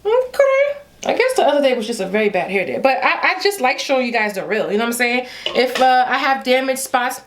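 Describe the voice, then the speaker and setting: A woman's voice: high-pitched, sing-song, a little fast. A young adult Haitian woman with a Haitian accent, chatting playfully and directly to her viewers in a casual hair vlog. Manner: chatty, playful, talks straight to viewers with y'all. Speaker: beauty vlogger.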